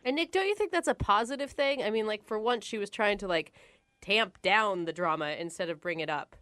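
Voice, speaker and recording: A woman hosting a radio show, chatting casually in an animated, reactive way as she questions callers. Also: mid-range voice, brisk, clear, resonant tone, no room sound. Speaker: radio host.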